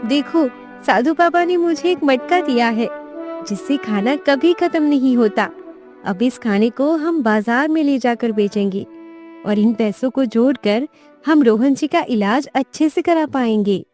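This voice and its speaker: A woman's voice: sits high, a little slow, a little mellow, faint music behind. A woman voicing a devoted, kindhearted, careworn young wife in a cartoon tale, earnest and emotional in delivery. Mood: hopeful.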